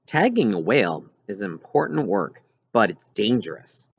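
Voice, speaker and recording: Kindly whale researcher for an audiobook male reader. Reassuring and explanatory, low register, animated phrasing. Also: normal speed, breathy edge, no echo, full character voice. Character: kindly adult whale researcher writing an apologetic email. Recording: dull, lo-fi recording.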